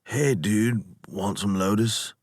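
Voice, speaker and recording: A man's voice: lowish voice, slow, no echo. A man voicing a blissed-out, dopey stoner in a story, with slurred, slangy, spaced-out drawling. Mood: spaced-out friendly. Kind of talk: acted storytelling.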